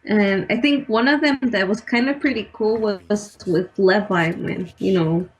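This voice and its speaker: A woman's voice: medium pitch, measured pace, low-quality recording. A young woman co-hosting a podcast, talking hesitantly with many fillers. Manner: hesitant, many ums and likes. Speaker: podcast co-host. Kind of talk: casual chat.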